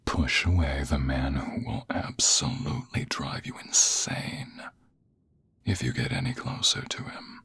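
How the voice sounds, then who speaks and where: A man playing a smug, seductive office rival in an intimate ASMR roleplay, with a husky, breathy half-whisper and slow, teasing, flirtatious delivery. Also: extremely low pitch, sharp tone, full character voice. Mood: intense, seductive.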